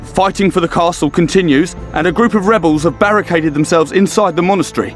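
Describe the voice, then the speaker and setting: A man voicing a dutiful soldier reporting to his lord in a video game, urgent, brisk and deferential. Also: high voice, faint music behind, natural voice.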